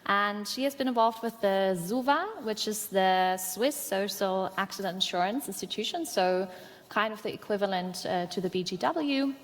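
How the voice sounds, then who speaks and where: A woman's voice: medium pitch, normal speed. Polite woman moderating in a hall. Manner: polite, thanking speakers, soft fillers. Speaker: conference moderator. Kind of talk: moderating a panel. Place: large conference hall. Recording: reverberant hall with faint ambience.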